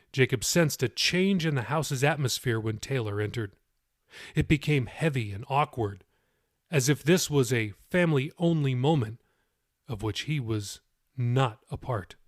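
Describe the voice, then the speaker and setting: A man narrating and hosting in an expressive, conversational way. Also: mid-range voice, measured pace, no echo, clean studio sound. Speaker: author and podcast host reading own novel. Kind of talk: audiobook narration and podcast outro.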